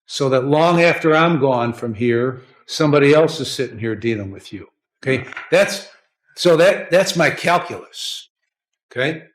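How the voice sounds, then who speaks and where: A man's voice: mid-range voice, animated, normal speed. An American man speaking as a judge in a courtroom, questioning and lecturing bluntly, repeating himself and often checking with "okay?".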